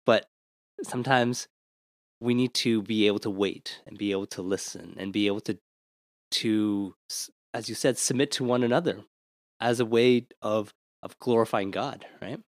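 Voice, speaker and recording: A man hosting an interview podcast, firing off quick questions in an upbeat way and laughing easily. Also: fairly low pitch, no echo, studio quality. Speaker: podcast host. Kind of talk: interview podcast with a rapid-fire question round.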